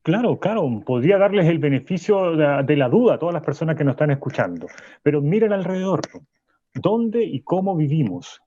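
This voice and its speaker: An actor playing a radio host who delivers a grandiose conspiracy monologue, going from fast to slow. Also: male voice, a little high, very animated, warm tone, dry recording. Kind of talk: radio drama acting. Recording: dull, muffled studio sound.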